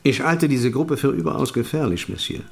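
An actor playing a hot-blooded, shrewd French count in an audio drama, formal and given to French words. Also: male voice, medium pitch, animated, brisk, gravelly. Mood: grave, analytical.

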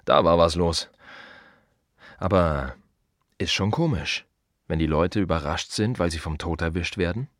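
A man's voice: sits low, a little slow, long pauses, dry recording, clean studio sound. A man playing a world-weary, wry gravedigger in a radio play monologue, talking conversationally in short, emphatic, rhythmic repeated phrases. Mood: dry, reflective. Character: world-weary, wry male gravedigger musing on death and the city.

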